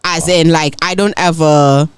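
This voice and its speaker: Accented young woman, low-ish, very expressive pitch, dry close sound, emphatic chat. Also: measured pace, clean tone, no room sound. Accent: non-native English accent. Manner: animated, emphatic storyteller. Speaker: podcast co-host. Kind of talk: casual chat.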